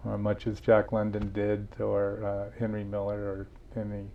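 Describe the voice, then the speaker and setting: A man's voice: on the low side, completely flat delivery, normal speed, creaky, dark tone, highs cut off, slight background noise. A man being interviewed about his book, telling stories earnestly with frequent restarts. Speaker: author and guest. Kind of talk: interview conversation.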